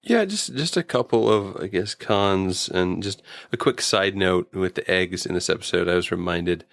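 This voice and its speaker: A man with a creaky, fairly low voice co-hosts a review show. He is wry and jokey, chatting casually, and the sound is dry and close. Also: normal speed, a bit bright, no room sound. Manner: wry, joking, anecdotal. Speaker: podcast co-host. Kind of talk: casual humorous review chat.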